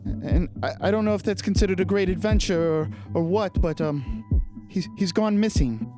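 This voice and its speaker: A woman's voice: lowish voice, normal speed, close to an everyday voice. Woman playing a stammering, shy half-elf woman over music. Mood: earnest, worried. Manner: hesitant, stammering. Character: shy, earnest half-elf woman seeking her father. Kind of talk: improvised tabletop role-play. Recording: music behind the voice.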